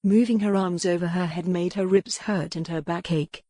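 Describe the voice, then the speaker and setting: Female narrator for a historical romance audiobook, slightly low register, scripted storytelling, intimate dry sound. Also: steady tone, moderate pace, mellow, dry recording.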